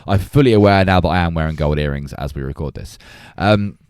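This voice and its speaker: A man around forty co-hosting a casual film discussion, opinionated and animated in his delivery. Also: sits low, brisk, clean tone, no echo, clean studio sound. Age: 30s or early 40s. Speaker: podcast co-host.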